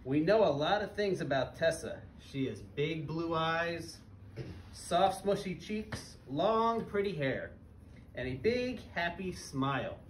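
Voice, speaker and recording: Higher-voiced man reading aloud, roomy and distant. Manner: simple, child-directed phrasing with questions to listeners. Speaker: parent guest reader at a school. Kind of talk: reading a picture book aloud to young children, with asides and questions. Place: small elementary school classroom. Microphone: camera a few metres away, speaking through face mask. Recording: roomy, distant mic with background noise.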